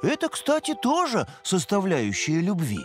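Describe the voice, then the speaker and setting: A solemn, gloomy cartoon creature. He draws his words out slowly, sliding dramatically in pitch over faint music. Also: huge pitch swings, full character voice. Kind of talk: animated children's cartoon acting.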